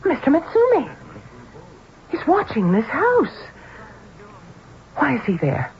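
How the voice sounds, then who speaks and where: An actress playing a fragile, anxious well-to-do wife in a vintage radio mystery, faltering and hesitant in a melodramatic style. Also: female voice, sits high, huge pitch swings, slow, heavily acted. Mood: anxious, faint. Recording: muffled, hissy old radio broadcast.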